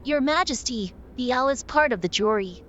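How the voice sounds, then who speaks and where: A woman's voice: a little high, animated, moderate pace, a bit bright, muffled recording, slight background noise. A woman playing a formal, deferential court announcer at a royal trial in a cartoon, officious and polite. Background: music.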